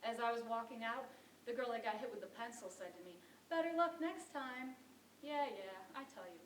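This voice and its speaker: A woman's voice: on the high side, normal speed, natural voice. A woman performing a wry, exasperated struggling actress in a theatrical monologue, telling her story with dramatic emphasis. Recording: roomy distant mic, faint noise.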